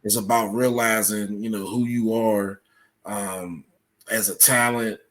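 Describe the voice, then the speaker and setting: Podcast guest guy, lowish voice, explaining stuff kinda halting and measured, researcher type. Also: steady tone. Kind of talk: explanatory interview talk.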